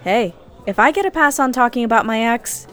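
A woman's voice: on the high side, animated, brisk, fairly bright tone, soft background music. An actress playing a talkative, candid, newly single woman on a first date in a naturalistic audio drama. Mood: playful.

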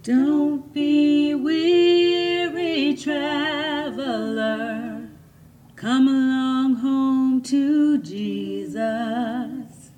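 A woman singing a slow gospel spiritual as part of an oratorio. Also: high voice, a little mellow, faint music behind. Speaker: gospel singer.

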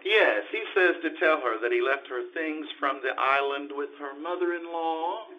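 An actor playing a fussy, disapproving busybody with hesitant delivery in a radio comedy on stage. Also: male voice, fairly high pitch, moderate pace, close to an everyday voice. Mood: arch, amused. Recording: muffled, noisy live stage audio.

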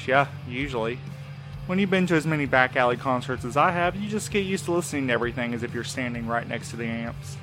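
A medium-pitched male courier driver acted by a man in an audio drama. He is laid-back and world-weary, with casual, sardonic, teasing delivery over faint music. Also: lively intonation, fast, lightly acted, low-quality recording. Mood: breezy. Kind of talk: full-cast audio drama dialogue.

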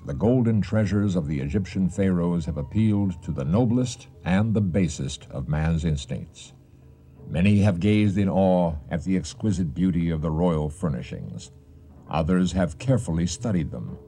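Very deep, dark male radio narrator. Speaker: host and narrator. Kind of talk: dramatic scripted radio narration. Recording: faint music behind the voice.

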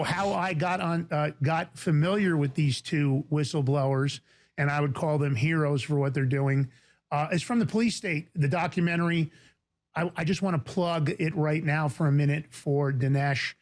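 A man from the Bronx with a New York accent, hosting a talk show in a fast, emphatic, indignant way. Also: fairly high pitch. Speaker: podcast host.

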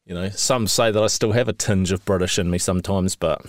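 A man's voice: low-pitched, a little fast, no room sound. New Zealand man, dry close sound. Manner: swears freely, animated storytelling with rising indignation. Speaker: podcast co-host. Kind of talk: casual chat.

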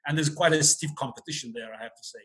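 A man is a guest in a casual interview. His voice sits a bit high, and he keeps stopping, restarting and filling gaps with little sounds. A dry humor runs under his answers. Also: fairly bright tone. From Azerbaijan. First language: Russian. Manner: halting, many fillers and restarts, dry humor.

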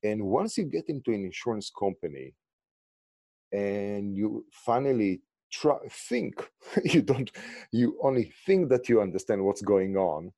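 A man with an Israeli accent speaks on a close, dry mic, his low voice mellow and relaxed. He talks with animated leaps in pitch on stressed words and often restarts his sentences. It sounds like a podcast interview guest. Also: a little slow, dry recording. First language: Hebrew. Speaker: guest, insurtech founder.

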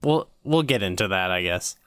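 Male co-host, slightly low, bright clean voice, laid-back, hesitant casual chat. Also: expressive, normal speed, clear, resonant tone, no room sound, clean studio sound. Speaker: podcast co-host.

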